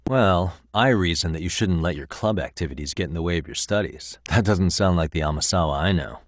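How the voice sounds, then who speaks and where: A man's voice: low-pitched, dry recording. An actor in his thirties with an American accent playing an easygoing, wry private detective in casual video game dialogue. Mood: casual, teasing. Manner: casual, dry wry humour.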